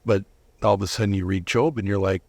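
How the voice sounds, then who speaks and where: A man's voice: fairly low pitch, steady tone, fast talker, creaky, no echo. A man giving earnest, explanatory answers as a guest in a conversational interview. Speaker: interview guest, volunteer Bible reader.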